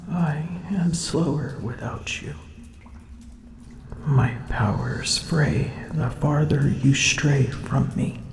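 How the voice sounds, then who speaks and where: A drowsy, aloof she-dragon, played by a woman in an ASMR audio roleplay, her voice gravelly and often sinking to a whisper. She drawls each word at a very slow, unhurried pace. The sound is roomy, with loud drips behind. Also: low-pitched, noisy background, exaggerated voice. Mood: weary. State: weary. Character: drowsy, aloof female dragon, vain and teasing.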